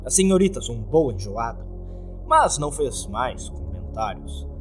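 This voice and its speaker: Brazilian man narrating horror with lively pitch. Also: unhurried. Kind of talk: dramatic scripted narration. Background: background music.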